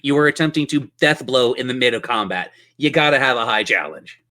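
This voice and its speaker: Bright-voiced man running a tabletop game. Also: medium pitch, a little fast. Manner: vivid, playful descriptions. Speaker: game master. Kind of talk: narrating a tabletop fantasy game.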